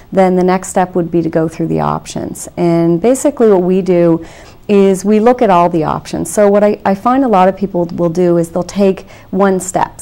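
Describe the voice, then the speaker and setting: A woman being interviewed as a debt counselor, explaining things in a measured, reassuring way with frequent "you know" fillers, in a television studio. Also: lowish voice, creaky, mellow. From Canada.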